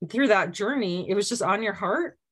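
A woman hosting a casual interview, enthusiastic and affirming, who often backs up her guest with quick agreement. Her pitch sits in a middle range. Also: lively intonation, husky. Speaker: interview host.

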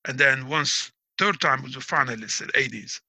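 Bright-voiced man explaining like a teacher. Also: medium pitch, normal speed, no echo. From Algeria. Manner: proud, emphatic. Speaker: interviewee. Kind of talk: casual interview talk.

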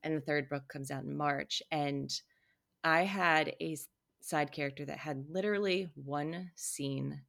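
A woman's voice: low-pitched, fairly even intonation, a little slow, creaky, fairly bright tone. A woman co-hosting a podcast who tells stories and acts out what other people said. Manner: storytelling, acts out quotes. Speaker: podcast co-host and author. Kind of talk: casual podcast chat.

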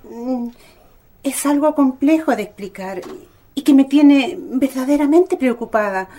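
A woman's voice: sits high, animated, unhurried, warm tone, full character voice. A woman playing an anxious, caring mother in a radio drama. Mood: worried.

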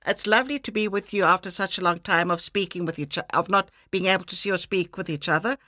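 A woman from Cyprus hosting a podcast interview, asking her guest questions in a gentle, measured way. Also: lowish voice, no room sound, studio quality. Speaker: podcast host.

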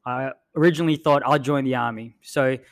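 A man who grew up in the Philippines, co-hosting a podcast in a laid-back, self-deprecating way with many pauses and um's. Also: medium pitch, fairly even intonation. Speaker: podcast co-host. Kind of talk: casual storytelling chat.

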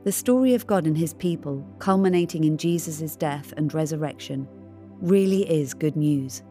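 A woman's voice: sits low, normal speed, mellow, soft background music. A woman reading a scripted devotional narration. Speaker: devotional reader.

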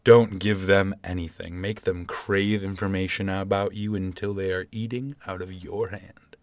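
A man playing a smooth, self-assured late-night love-advice radio DJ in a comedy radio play, with a theatrical, pompous delivery. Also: low voice, level tone, normal speed, no echo. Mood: suave, confident. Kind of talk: comedy radio play in old-time radio style.

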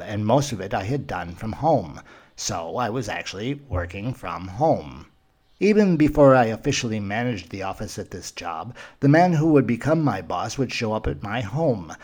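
A man hosting a podcast, reading scripted first-person narration in measured, formal phrasing. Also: fairly low pitch, a little mellow. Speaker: podcast host.

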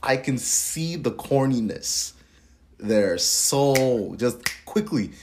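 A man's voice: mid-range voice, animated, very slow, background noise. A young man from Minnesota asking questions in a blunt, slangy, casual way. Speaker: interviewer. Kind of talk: casual conversation.

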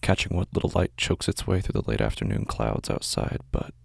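A man acts out a weary recluse narrating a horror audio drama. His deep voice crackles with fry as he speaks haltingly and introspectively, brooding and anxious, in a close, dry recording. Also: lively intonation, no echo, exaggerated voice. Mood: uneasy, brooding. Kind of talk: first-person horror audio drama monologue.

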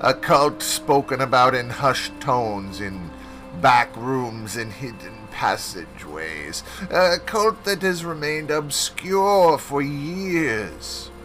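A man's voice: medium pitch, animated, slow, fairly bright tone, faint music behind. A man voicing a cranky, cackling old male professor who drags his words out distractedly. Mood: hushed, slow.

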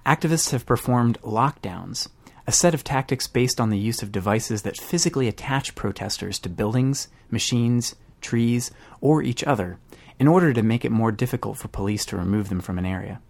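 A man's voice: mid-range voice, normal speed, gravelly. A man hosting a podcast, reading scripted news narration. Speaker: podcast host.

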